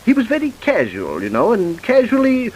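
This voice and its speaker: An actor playing a fussy, hesitant and apologetic gentleman witness in an old radio drama. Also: sits high, wildly swooping pitch, normal speed, a little hiss or hum, rough recording. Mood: earnest, rambling. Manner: hesitant, polite, rambling, with repeated filler phrases.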